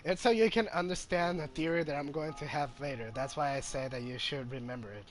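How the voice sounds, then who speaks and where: A man's voice: on the high side, fairly quick. A man hosting a gaming video with casual, informal commentary, repeating points for emphasis.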